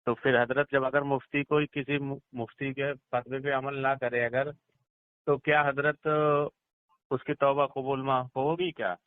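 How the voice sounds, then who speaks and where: A man's voice: mid-range voice, little pitch movement, measured pace, clear, resonant tone, dry recording. Deferential male caller on a tinny phone line. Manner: deferential, polite, probing. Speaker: caller questioning a cleric. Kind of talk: respectful phone inquiry. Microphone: phone call.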